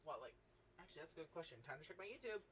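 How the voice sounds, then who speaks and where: A sweary, excitable man hosting a casual livestream and chatting with his guests. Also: fairly high pitch, racing. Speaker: livestream host and amateur voice actor. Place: online group voice call. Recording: noisy, distant mic.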